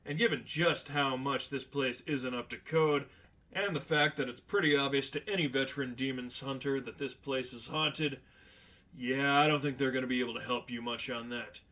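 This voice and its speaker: A man's voice: medium pitch, fairly even intonation, moderate pace, pushed and bright, lightly acted. A man playing a sardonic, unflappable veteran demon hunter in a story reading, matter-of-fact and flippant. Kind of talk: dramatized fan fiction reading.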